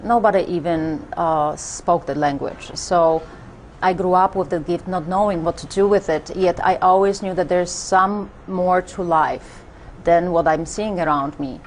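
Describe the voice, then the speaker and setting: A woman from Poland with a Polish accent, a spiritual teacher talking in an interview, halting with many fillers and restarts. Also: lowish voice, a little hiss or hum. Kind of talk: interview conversation.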